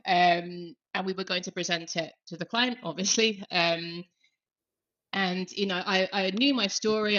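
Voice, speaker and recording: A woman's voice: medium pitch, sharp tone, dull audio. A woman guest on a podcast, talking casually with lots of fillers and restarts. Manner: chatty, many fillers and self-corrections.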